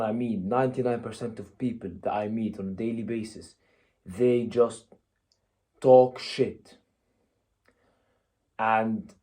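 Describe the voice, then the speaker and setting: Blunt Egyptian-raised man, mellow low voice. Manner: blunt, conversational, with frequent "you know" and "yeah?". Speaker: motivational vlogger. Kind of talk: casual motivational talk to camera.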